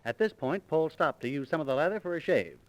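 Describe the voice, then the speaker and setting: Man as a high-voiced, mock-heroic radio storyteller. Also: animated, fast talker, no echo, natural voice. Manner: declamatory, wisecracking. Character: mock-heroic comic storyteller of Paul Revere's ride. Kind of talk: 1930s radio comedy narration. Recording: 1930s radio transcription.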